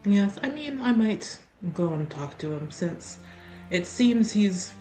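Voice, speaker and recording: A woman playing a candid, thoughtful member of a noble household in a tabletop game, with a deliberate, dry manner. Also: mid-range voice, expressive, mellow, soft background music.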